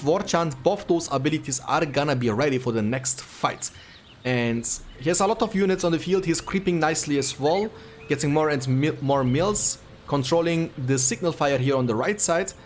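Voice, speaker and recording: Turkish man in his twenties casting a game. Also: on the high side. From Turkey. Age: 20s. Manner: quick play-by-play with light jokes. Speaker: esports caster. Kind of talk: game commentary. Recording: music playing behind.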